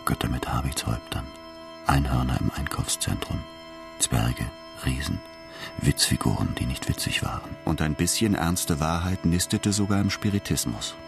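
Rock-bottom gravelly older guy, cryptic and businesslike, radio play, music behind. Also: rumbling low, moderate pace. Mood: solemn. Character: mysterious fatherly older man, cryptic and businesslike. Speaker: radio drama actor. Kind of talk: radio drama acting.

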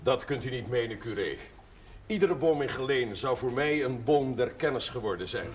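A man's voice: medium pitch, expressive, normal speed, lightly acted. An actor playing an urbane, self-assured clergyman in a television drama, with a dry, ironic and formal manner. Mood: dryly amused. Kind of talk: television period drama dialogue. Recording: noisy, echoey 1970s television audio.

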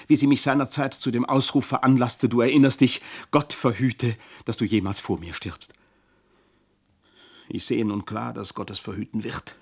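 Old servant in a radio play, husky n lowish, plaintive, formal, self-pitying. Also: male voice, darkish tone. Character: weary, self-pitying, servile old male household servant. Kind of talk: German radio play acting.

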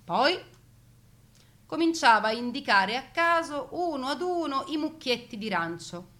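A woman's voice: high-pitched, big pitch swings, unhurried, bright, forceful tone. Woman storyteller, theatrical and mock-solemn. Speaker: narrator and stage storyteller. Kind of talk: theatrical scripted narration.

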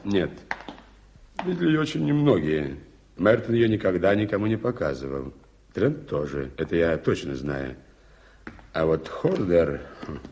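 An actor playing a well-informed, confiding guide in an articulate, theatrical 1980s Soviet radio play style. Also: male voice, low voice, sing-song, measured pace, pauses often, a little mellow, exaggerated voice. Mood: insistent. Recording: hissy old radio drama recording.

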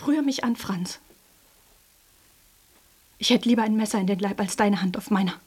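An actress playing a proud, defiant young working woman in a radio drama, husky and scornful. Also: medium pitch, normal speed, close to an everyday voice. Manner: sharp, scornful retorts. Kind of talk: German radio drama acting.

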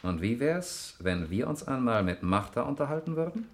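An actor playing a matter-of-fact, cautious family lawyer with a practical manner in a 1960s German radio drama. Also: male voice, mid-range voice, big pitch swings, moderate pace. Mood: calculating.

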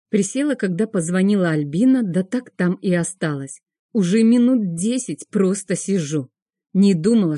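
Woman for first-person fiction narration: warm, mellow tone, very dry close studio sound. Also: medium pitch, no echo. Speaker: audiobook narrator.

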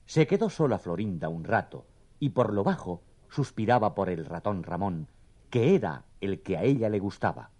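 Husky, mellow male storyteller. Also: lowish voice, big pitch swings, normal speed. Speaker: storyteller, narrator. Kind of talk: scripted children's story narration.

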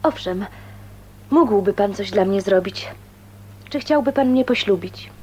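A woman's voice: medium pitch, animated, a little slow, dark tone, slightly rough. An actress in a radio play voicing a shy but determined, well-bred young woman, polite, hesitant and sincere. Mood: nervous resolve.

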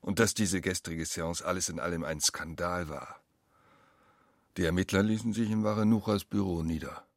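A man narrating a literary radio drama as a wry, measured storyteller. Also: deep voice, steady tone, no echo, clean studio sound.

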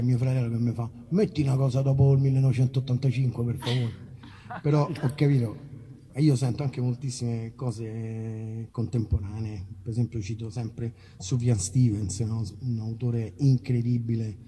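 An Italian man, a musician, chatting informally and haltingly to a concert audience as he introduces songs. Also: mid-range voice, little pitch movement, dark tone. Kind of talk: casual spoken song introduction to an audience. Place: live outdoor evening concert in a park olive grove.

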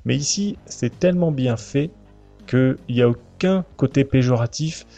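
Male podcast host, gravelly and mellow, hesitant film chat with music behind, dull lo-fi sound. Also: mid-range voice, measured pace. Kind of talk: semi-prepared film discussion. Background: clear background music.

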